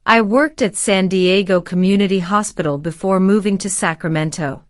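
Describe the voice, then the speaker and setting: A woman's voice: medium pitch, no echo. Polite woman from Syria, dry sound. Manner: polite, formal, measured replies. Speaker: citizenship applicant. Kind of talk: scripted interview answers.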